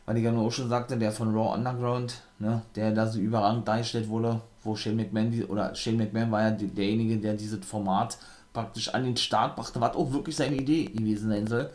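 A man's voice: fairly low pitch, flat delivery, a little fast, a little mellow. A man, talking through a weekly wrestling review in a loose, rambling way, with lots of fillers and restarts. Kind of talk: casual spoken weekly review.

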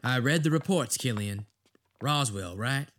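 An actor playing a brusque, skeptical senator in an audio drama, blunt and questioning, with a sardonic edge. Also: male voice, mid-range voice, animated, a little slow, a bit bright, slight background noise. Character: skeptical, brusque male American senator on inspection.

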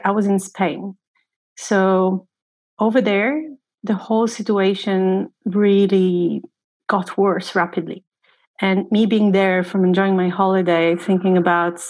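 Guest, female, from Poland, mid-pitched, thoughtful and unhurried. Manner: thoughtful, unhurried, with small grammar slips. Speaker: guest, glacier guide. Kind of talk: casual personal account.

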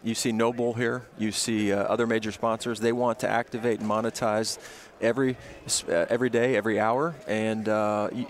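A man from Indianapolis promoting his city's sports events in an outdoor interview, speaking with upbeat emphasis. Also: medium pitch. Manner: upbeat, emphatic, lists names and places. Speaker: tourism and events official.

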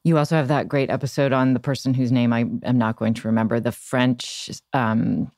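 A woman's voice: rumbling low, level tone, brisk, a little mellow, no room sound, studio quality. A woman hosting a podcast, asking thoughtful, tentative questions in a conversational style. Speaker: podcast host. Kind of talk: conversational interview.

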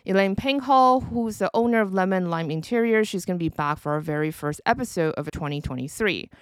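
A woman's voice: mid-range voice, lively intonation, fairly quick, clear, resonant tone, dry recording. A woman originally from Taiwan, hosting a solo podcast episode in an upbeat, conversational way, in her forties. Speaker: podcast host.

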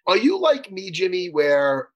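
High, lively, husky-voiced joking man. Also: measured pace, highs cut off. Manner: joking, teasing banter. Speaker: podcast co-host. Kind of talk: casual chat.

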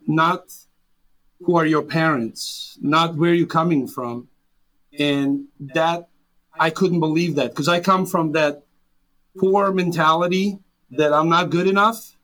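A man's voice: on the high side, a bit bright, poor audio. A man in his forties from Serbia, speaking English with a Serbian accent as an interview guest, earnest and full of restarts. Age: 40s. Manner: earnest, with frequent restarts and fillers. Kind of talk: interview conversation.

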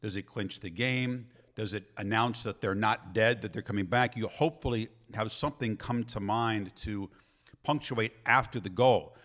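Man, sports announcer, interview host, low voice, measured, thoughtful questions. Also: fairly bright tone. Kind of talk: interview conversation.

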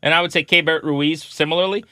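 A man's voice: on the high side, fairly even intonation, quick pace, bright, forceful tone, dry recording, studio quality. A man hosting a sports podcast, chatting in an emphatic, playfully opinionated way. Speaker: podcast host.

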